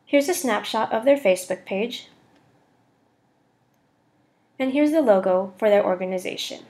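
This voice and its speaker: A woman's voice: medium pitch, animated, a little fast, warm tone. A young woman student reading a scripted voice-over for a slide presentation. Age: young adult.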